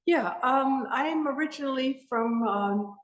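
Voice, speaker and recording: A woman's voice: sits high, a little slow. Woman from Sweden and Norway, guest artist, earnest and passionate, echoey exhibition space. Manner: earnest, passionate, with frequent restarts. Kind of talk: casual interview talk. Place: at her art exhibition. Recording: echoey room.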